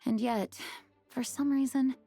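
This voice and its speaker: Dutiful young lady knight commander, formal n measured, game dialogue over music. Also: high voice, fairly even intonation, mellow. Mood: grave, reflective. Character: composed, dutiful young woman acting as knight leader. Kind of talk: video game voice acting, scripted dialogue.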